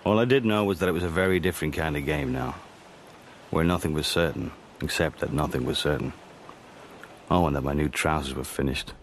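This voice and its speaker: An actor playing a world-weary, sardonic Cold War spy, narrating a radio drama in a dry, understated manner. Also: male voice, a bass voice, fairly quick, slight background noise. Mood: brooding, wry. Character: wry, world-weary Cold War secret agent, insubordinate.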